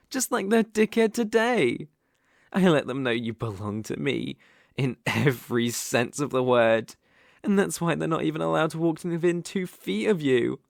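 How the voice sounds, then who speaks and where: A man's voice: medium pitch, vocal fry, no echo, studio quality. A British man acting a dominant, teasing, possessive young bully in love in an intimate ASMR roleplay. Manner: slow, teasing, commanding.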